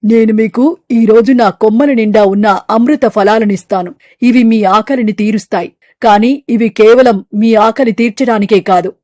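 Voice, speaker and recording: A woman voicing an ancient, sacred mother tree that speaks in a solemn, declamatory way, stern yet forgiving, in an animated moral story. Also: fairly high pitch, moderate pace, no echo, clean studio sound, natural voice.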